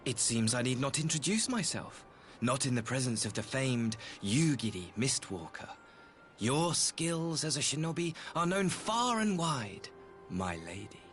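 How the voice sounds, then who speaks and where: An actor playing a courteous, self-important imperial ambassador in a video game, speaking with formal, theatrical politeness. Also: male voice, mid-range voice, big pitch swings, a little slow, a little hiss or hum. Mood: courteous, smooth. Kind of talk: scripted game dialogue.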